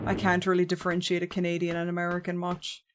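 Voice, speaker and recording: A woman's voice: lowish voice, monotone, fast, background noise. A young woman chatting casually with her viewers while she plays, wry and rambling, often restarting her sentences. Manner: chatty, wry, frequent self-corrections. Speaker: streamer. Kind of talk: casual gameplay commentary, reading chat. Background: game music.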